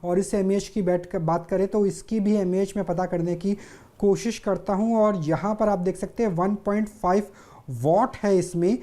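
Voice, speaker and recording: Female TV news presenter, mid-pitched and soft-edged, emphatic hands-on explainer who likes repetition. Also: fairly even intonation, normal speed. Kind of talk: live demonstration reporting.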